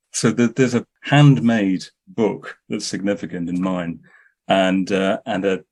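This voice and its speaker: Male filmmaker, lowish voice, anecdotal interview delivery with plenty of false starts. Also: a little mellow.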